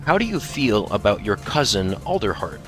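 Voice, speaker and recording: A man reading questions as co-host of a fan Q&A in a playful, teasing, animated manner. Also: fairly low pitch, moderate pace. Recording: faint music behind the voice.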